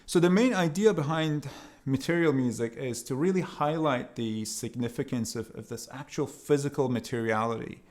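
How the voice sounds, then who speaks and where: A man from Iran giving an explanatory talk as a sound artist, in measured, hesitant phrases with pauses. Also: medium pitch, darkish tone. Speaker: sound artist presenting own work.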